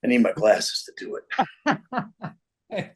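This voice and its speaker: A man's voice: medium pitch, wildly swooping pitch, slow, husky, full character voice. A man playing a foul-mouthed, irritable tough guy, belligerent and grumbling as he improvises comic threats. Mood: irritated, threatening.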